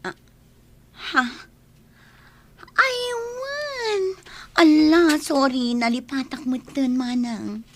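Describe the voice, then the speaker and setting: A woman playing a smooth-talking village gambler who borrows money, coaxing in an Ilocano radio comedy drama. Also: sits high, drawn-out words, long pauses, a bit bright, a little hiss or hum, heavily acted. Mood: evasive.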